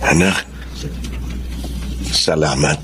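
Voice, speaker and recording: A man's voice: very deep voice, steady tone, extremely slow, fairly bright tone, slight background noise, exaggerated voice. A Filipino actor playing a grateful middle-aged father, heartfelt, in a radio drama.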